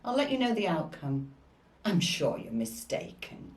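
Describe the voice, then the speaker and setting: An actress playing a formal, authoritative hospital matron with a brisk, questioning manner in a scripted drama. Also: female voice, deep voice, big pitch swings, heavily acted. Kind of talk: scripted stage-drama acting. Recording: distant mic in echoey room.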